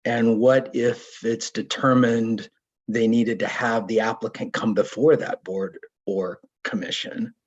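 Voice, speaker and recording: A man with a hoarse, husky voice raises careful, probing questions at a public hearing. He talks over a remote video call, and the sound is dull and lo-fi. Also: fairly low pitch, fairly even intonation. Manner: deliberate, probing questions with restarts. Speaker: resident commenting at public hearing. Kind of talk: remote public meeting comment.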